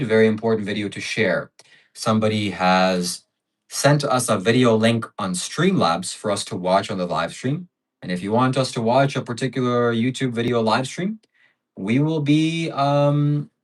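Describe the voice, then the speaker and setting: A man's voice: mid-range voice, rough recording. A man hosting a casual livestream, thanking donors and stacking up adjectives as he chats. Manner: reads out donations and piles up adjectives. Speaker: livestream host.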